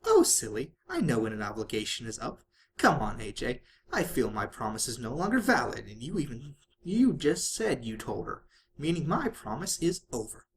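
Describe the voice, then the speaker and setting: A storybook reader voicing a hyperactive, bubbly young female cartoon pony, excitable, playful and coaxing. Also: mid-range voice, moderate pace, a little hiss or hum. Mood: playful, triumphant.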